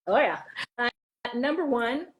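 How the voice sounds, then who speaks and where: A woman's voice: high voice, pushed and bright. A woman drama teacher chatting casually in an interview, giving practical advice in an encouraging way and repeating words for emphasis.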